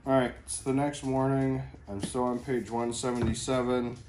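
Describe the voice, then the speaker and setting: A man's voice: medium pitch, level tone, normal speed, a little mellow, slight background noise, rough recording. A man chatting casually to camera as a book vlogger, in a rambling, informal and slangy way.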